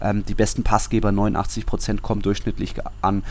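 A man's voice: on the low side, level tone, quick pace, gravelly, a little mellow. A man hosting a football podcast, talking through results and statistics in a detailed, enumerating way. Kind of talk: sports podcast talk, running through statistics.

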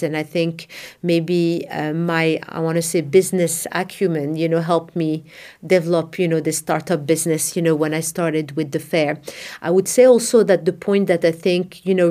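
A woman from Morocco with a lowish voice speaks in an interview about her path. She is middle-aged and reflective, and she often pauses on "you know" and restarts her sentences as she thinks aloud. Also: mellow. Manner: thoughtful, many "you know" fillers and restarts. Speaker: art fair founding director. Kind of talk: conversational interview answers.

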